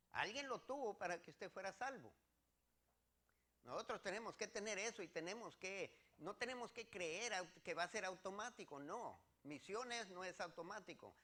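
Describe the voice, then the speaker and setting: A man's voice: high voice, sharp tone. A man with a Mexican accent preaching as a pastor, teaching scripture step by step to a congregation with emphatic, repeated phrases.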